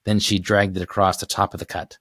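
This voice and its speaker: A man's voice: deep voice, little pitch movement, a little fast, a bit bright, no room sound, studio quality. A man reading a children's adventure story aloud as its author, in scripted narration.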